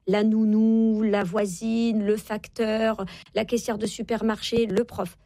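A woman's voice: mid-range voice, flat delivery, takes it slowly, dark tone, no echo. A woman in her forties speaking as an interview guest, explaining and giving parenting advice emphatically, repeating key phrases to insist. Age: 40s. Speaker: guest parenting expert and author. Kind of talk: interview talk, explaining and advising.

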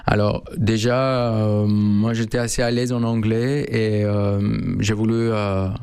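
Man, flat gravelly voice, halting answers, dry sound. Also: lowish voice, no echo. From Mexico. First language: Spanish. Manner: halting, many fillers and restarts. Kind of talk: hesitant interview answers.

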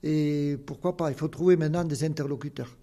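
A man's voice: fairly high pitch, fairly even intonation, a little fast, warm tone. An older man with a southern Occitan accent, a guest answering interview questions in an earnest, explanatory way with many fillers. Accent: Occitan southern French.

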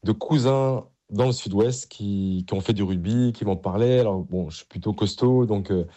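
A middle-aged man from Paris speaks as a priest in an interview. His voice sits fairly low and has a very mellow, relaxed tone. He explains things in an earnest, enthusiastic, conversational way. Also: moderate pace. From Paris, France.

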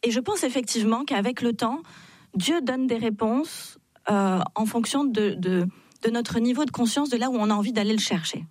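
A woman with a fairly high voice, recorded close and dry in a radio studio. She talks as an interview guest giving personal testimony, telling her story in an animated way and voicing the dialogue she recalls. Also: normal speed, no room sound.

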